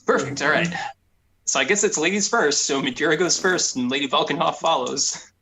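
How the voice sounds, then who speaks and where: A man's voice: on the high side, a little fast, sharp tone, a little hiss or hum, poor audio. A man taking part as a player in a tabletop roleplaying game, bantering casually.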